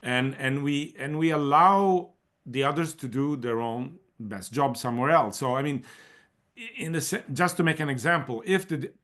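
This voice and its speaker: A man's voice: mid-range voice, normal speed, fairly bright tone. A man answering on an online panel, thinking aloud with frequent repetitions and restarts. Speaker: panelist leading a community of practice.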